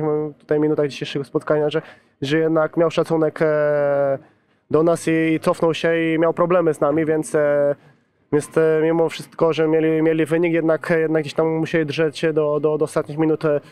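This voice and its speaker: A man's voice: a little high, completely flat delivery, moderate pace, a little mellow, smooth. Man, footballer, post-match interview, hesitant, restarts often, level tone, handheld mic. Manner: hesitant, many fillers and restarts. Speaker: football player. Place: pitchside interview backdrop at a stadium, outdoors. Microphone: handheld reporter's mic.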